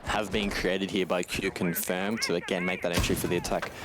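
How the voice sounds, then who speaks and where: Deep-voiced male esports caster. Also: flat delivery, fairly quick. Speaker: esports commentator. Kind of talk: live analytical esports commentary. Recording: clear game gunfire noise behind voice. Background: video game gunfire and clicks.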